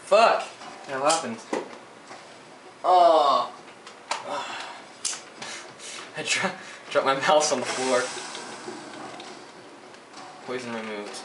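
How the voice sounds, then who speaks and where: A man's voice: mid-range voice, drawn-out words, long pauses. A young man giving live gameplay commentary, swearing and grumbling as he plays. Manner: profane, frustrated outbursts at the game. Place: indoors at a computer. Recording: echoey room, distant mic, noisy.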